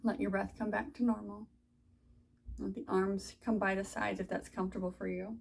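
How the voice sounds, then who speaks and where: A woman teaching a guided body scan meditation, giving soft, soothing, unhurried instructions with long pauses. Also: mid-range voice, flat delivery, gravelly, husky.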